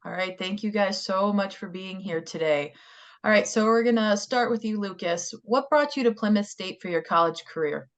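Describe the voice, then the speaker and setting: A woman's voice: medium pitch, moderate pace. A woman hosting a college sports interview, asking questions in an upbeat, friendly way. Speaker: interviewer host.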